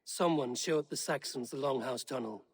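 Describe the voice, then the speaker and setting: An actor playing a male Viking hero who questions people calmly and directly about a betrayal. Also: mid-range voice, moderate pace, husky, dry recording, close to an everyday voice. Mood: questioning. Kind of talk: game dialogue.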